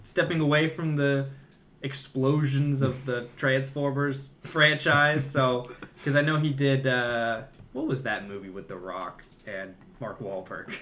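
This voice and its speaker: A man's voice: medium pitch, steady tone, measured pace, a bit bright, a little hiss or hum. A man co-hosting a podcast, chatting casually about movies in an opinionated, conversational way. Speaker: podcast co-host.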